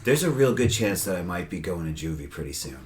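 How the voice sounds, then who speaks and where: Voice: deep voice, very animated, fast talker. A tabletop game player improvising casual in-character talk. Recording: noisy room with clicks.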